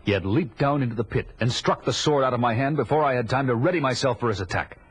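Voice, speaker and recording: A man's voice: medium pitch, quick pace, breathy edge, natural voice. An actor playing a vengeful, battle-hardened barbarian who tells his own tale in solemn, declamatory audio drama style. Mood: grave, urgent. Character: vengeful, battle-hardened male barbarian warrior, grim and proud. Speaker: narrator and lead actor. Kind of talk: dramatic first-person audio drama narration. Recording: loud music behind the voice.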